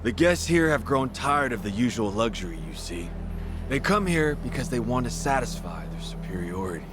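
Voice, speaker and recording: A male actor voices a condescending video game crime boss who runs a casino. He speaks at a middle pitch in formal, measured phrases, smug and composed, with music playing clearly behind him. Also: sing-song, lightly acted. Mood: smug lecturing. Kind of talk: English video game voice acting.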